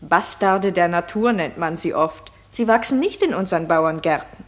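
An actress playing a modest, anxious and gracious young shepherdess in a classical German radio drama. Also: female voice, fairly low pitch, normal speed, close to an everyday voice. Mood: earnest.

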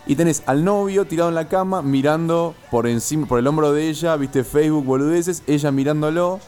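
A man's voice: on the high side, brisk, a little mellow, faint music behind. A young man with an Argentine accent chatting casually as a co-host on a radio show. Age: 20s.

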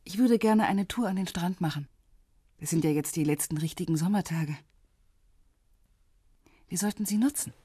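An actress playing a self-assured, flirtatious middle-aged policewoman with gentle irony in a radio drama. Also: on the low side, normal speed, pauses often, husky, no room sound, studio quality. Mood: softly inviting.

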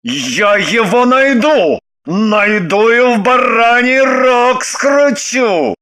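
A middle-aged businessman, furious and blustering, who spits out threats slowly and deliberately, played by a woman reading an audiobook. His voice sits rather high for a man and sounds bright and hard. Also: lively intonation, full character voice.

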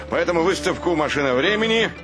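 An actor playing a jovial, ceremonious official giving an exhibition opening speech in a radio play. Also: male voice, on the high side, animated, moderate pace, music in the background, lightly acted.

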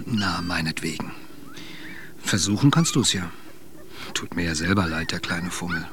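A man playing a gruff, resigned but soft-hearted senior expedition member, subdued and matter-of-fact, in a radio drama. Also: low voice, normal speed, background noise. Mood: grudging sympathy. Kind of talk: German radio drama acting.

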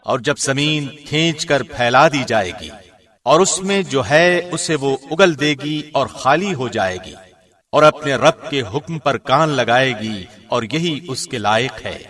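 A man reading a scripture translation formally, in the measured, solemn manner of a radio presenter. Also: medium pitch, animated, a bit bright, recorded from a distance. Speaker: translation reader, radio host.

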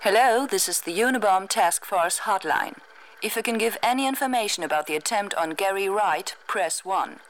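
A woman's voice: mid-range voice, expressive, moderate pace, background noise, lightly acted. A woman voicing an impersonal, formal recorded announcer for a telephone hotline menu. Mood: formal, neutral. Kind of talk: automated phone menu announcement in English.

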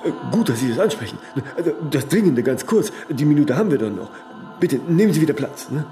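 An actor playing a famous older workaholic in a radio drama, vain and stubborn, given to philosophical pronouncements that end in little tag questions. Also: male voice, fairly high pitch, sing-song, fast, dark tone, soft background music. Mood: self-assured. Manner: rambling pronouncements, frequent tag "ne?".

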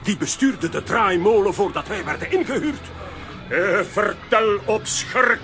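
An actor playing a pompous, bumbling would-be detective hero in a Dutch-language children's radio play. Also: male voice, high-pitched, sing-song, measured pace, gravelly, sharp tone, faint music behind, heavily acted. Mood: indignant. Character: blustering, boastful adult comic-strip hero, bumbling would-be detective.

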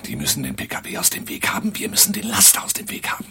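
An actor playing a wary fighter in a radio thriller, giving tactical orders in a whispery, gravelly voice. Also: male voice, high-pitched, huge pitch swings, racing, full character voice. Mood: tense, hushed. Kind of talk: radio drama dialogue.

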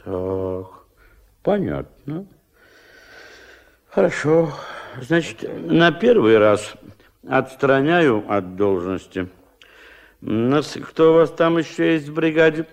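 A self-important Soviet fishery boss for a radio play. His gravelly voice swings widely in pitch as he blusters and gives orders. He speaks slowly and officiously, like a suspicious bureaucrat. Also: very animated, full character voice. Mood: stern, threatening. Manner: officious, bureaucratic, repeating catchphrases.

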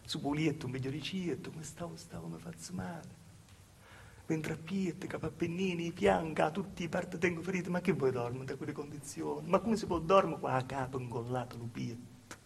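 A man's voice: a little high, normal speed, vocal fry, a little hiss or hum. An actor playing a humble, wronged southern Italian village woman in a plaintive theatrical monologue, with a Calabrian accent and repetitive storytelling. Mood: weary, plaintive. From southern Italy, Calabria. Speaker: stage actor performing a monologue. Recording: live stage performance with music. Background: live music.

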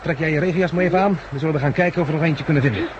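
A man's voice: a little high, very fast, highs cut off, lightly acted. An actor playing an earnest, hopeful, protective man in a 1960s Dutch radio play, on a noisy recording. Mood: determined.

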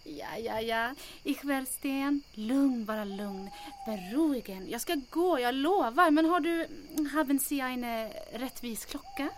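A woman's voice: high-pitched, a little hiss or hum, lightly acted. Woman playing a bossy, fearless adventuress. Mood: feigned tipsy. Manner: commanding, brisk, teasing. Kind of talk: comic audio drama acting.

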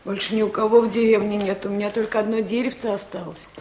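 A woman's voice: medium pitch, steady tone, quick pace, a little mellow, slight background noise, lightly acted, poor audio. An actress playing a humble, kindly elderly village woman in a Soviet radio drama. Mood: gentle, modest.

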